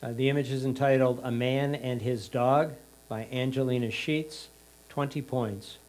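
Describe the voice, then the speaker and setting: A man with a middling pitch works as score announcer. He reads out titles, names and points in a plain, formulaic pattern. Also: steady tone, moderate pace, a little mellow.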